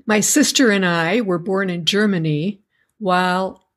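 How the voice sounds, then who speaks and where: A middle-aged woman from the United States, answering interview questions in a measured, articulate way. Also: fairly low pitch, steady tone. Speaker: guest, educators association member.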